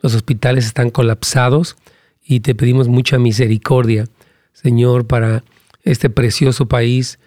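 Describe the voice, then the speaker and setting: A male pastor of middling pitch leads prayer with fervent, rhythmic repetitions. His voice lifts noticeably when he argues. The sound is dry and close, with no room echo. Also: unhurried. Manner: animated, rising pitch when arguing a point. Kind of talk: live-streamed sermon and prayer with Q&A.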